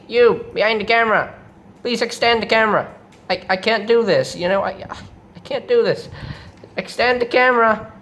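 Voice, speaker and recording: A man plays a villainous robot commander from a toy skit. He is whiny and hot-tempered, pushing a strained voice up high and repeating his demands in theatrical rants. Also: fairly bright tone, a little hiss or hum. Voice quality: throat-straining voice. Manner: theatrical, repetitive, ranting.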